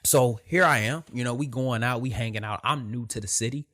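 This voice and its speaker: A young man from Detroit with a soft voice, hosting a casual podcast monologue, repeating phrases and swearing as he tells a story. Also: medium pitch, fairly quick. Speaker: podcast host.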